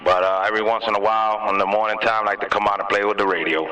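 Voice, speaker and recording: A man's voice: lowish voice, steady tone, racing, bright, forceful tone. A man from Harlem chatting casually over CB radio in a laid-back, slangy way. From Harlem, New York City. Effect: two-way CB radio. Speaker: visiting CB radio operator. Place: parked vehicle. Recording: noisy, distant-sounding CB radio transmission.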